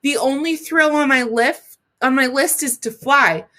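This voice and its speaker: A woman's voice: sits high, unhurried, bright, forceful tone. A woman reading the part of a spirited, encouraging old great-aunt in a storybook, with an exclamatory manner. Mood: wistful.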